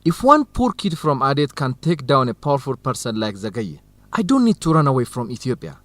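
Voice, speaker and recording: A man's voice: medium pitch, very animated, brisk, a bit bright, dry recording. A man playing an earnest, supportive young university student in an educational radio drama, speaking reassuringly. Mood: earnest, inspired.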